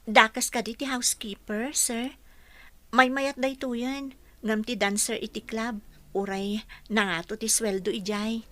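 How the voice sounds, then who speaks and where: A young housekeeper in a village radio play. Her voice is sharp, bright and fairly high. She comes across as spirited, needy and on her guard. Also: steady tone, a little slow, dry recording. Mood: surprised, eager. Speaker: radio drama actress. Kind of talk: Ilocano radio comedy-drama acting.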